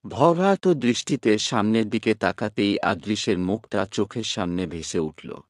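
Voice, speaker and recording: A man narrating a romantic story from a script in a measured, expressive reading style. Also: fairly low pitch, dry recording, studio quality.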